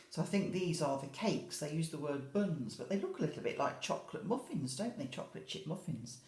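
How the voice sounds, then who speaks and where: A woman teacher reading a picture book to young children in an expressive way, adding chatty asides and questions. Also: deep voice, roomy sound. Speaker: infant school teacher.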